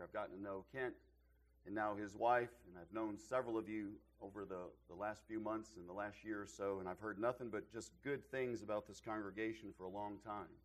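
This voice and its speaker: A middle-aged man, slightly hoarse from losing his voice, giving a sermon as a visiting preacher in a church, opening with self-deprecating jokes before building to emphatic rises. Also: on the low side. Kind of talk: sermon with folksy humor. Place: church auditorium.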